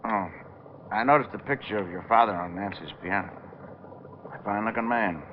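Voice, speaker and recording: An actor plays a private eye on a muffled old radio recording with noticeable hiss. He is American, wry and watchful, with a low voice. He probes casually and hesitantly in classic radio crime drama style. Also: fairly quick, close to an everyday voice. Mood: casual probing. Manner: hesitant, probing small talk. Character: wry, probing male private detective, casual but watchful. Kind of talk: 1940s-50s American radio drama acting.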